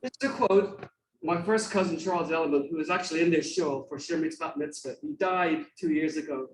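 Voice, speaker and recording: A man's voice: on the high side, fairly quick, crowd noise. An Irish man giving an informal lecture in a conversational way, repeating phrases for emphasis. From Ireland, grew up in Dublin. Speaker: guest lecturer. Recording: roomy, distant mic with faint noise.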